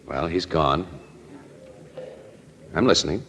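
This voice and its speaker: An actor playing a wry, skeptical, streetwise newspaper photographer in an old-time radio crime drama, with clipped, slangy wisecracks. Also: male voice, a bass voice, animated, slow, a bit bright, a little hiss or hum, heavily acted. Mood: guarded, businesslike. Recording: dull, lo-fi old radio recording.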